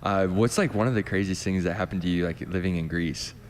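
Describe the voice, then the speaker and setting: A man hosting a casual interview podcast, reacting with excited exclamations and asking questions. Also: low voice, a little fast, long reverb tail, slight background noise. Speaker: podcast host.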